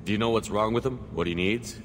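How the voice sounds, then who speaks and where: Game lead, male actor as starship commander: North American, low, brisk, controlled, echoing space with noise behind. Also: lightly acted. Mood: businesslike. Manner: direct, controlled, businesslike. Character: steady, caring male military starship commander. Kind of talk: video game cutscene acting.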